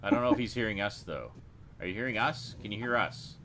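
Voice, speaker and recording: Bright-voiced, animated man hosting a chat. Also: fairly low pitch, fast, a little hiss or hum. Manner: joking, teasing. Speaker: host of a geek chat show. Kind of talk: casual group chat.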